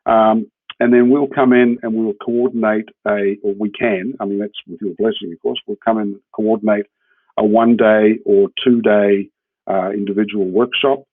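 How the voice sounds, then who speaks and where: A man's voice: lowish voice, fairly even intonation, moderate pace, slightly rough, no echo. A man with a New Zealand accent explaining his work informally as an interview guest, often checking in with the listener. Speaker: podcast guest, hemp builder. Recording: muffled, phone-like narrowband line.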